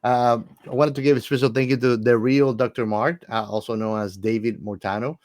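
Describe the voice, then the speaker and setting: A man's voice: medium pitch, fairly even intonation, brisk, a little mellow, no room sound, clean studio sound. A man co-hosting a podcast in casual chat, enthusiastic and repeating words for emphasis. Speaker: podcast co-host.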